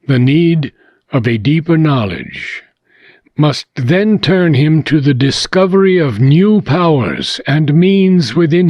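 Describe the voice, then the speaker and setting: A man reading philosophical prose aloud in a measured, deliberate way with frequent pauses. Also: on the high side, a little mellow, no echo, clean studio sound. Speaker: reader of a philosophical book.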